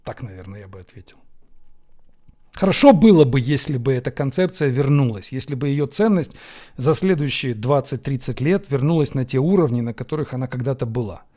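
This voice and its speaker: A man from Ukraine, hosting a question-and-answer show, musing on viewers' questions with frequent pauses and fillers. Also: medium pitch, animated, dark tone. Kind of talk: Q&A monologue. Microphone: camera setup.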